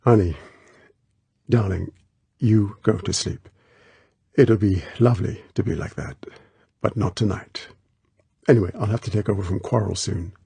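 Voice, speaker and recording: A man voicing a tough, protective action hero in an audiobook, with a clipped, low-key, commanding manner. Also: normal speed, gravelly, pauses often, warm tone, breathy edge, no echo, full character voice. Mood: tender, reluctant.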